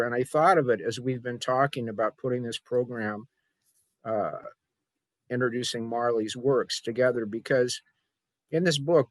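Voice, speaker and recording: A man introducing a literary reading informally, speaking reflectively with halting, deliberate pauses. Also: medium pitch, dry recording.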